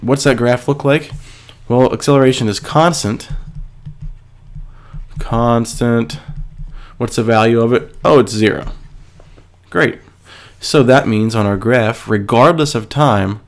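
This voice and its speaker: A man's voice: lowish voice, gravelly. A male physics teacher, stuffy from a cold, walking through a worksheet step by step and answering his own questions. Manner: patient, step-by-step, asks and answers himself. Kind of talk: screencast lesson explaining a worksheet.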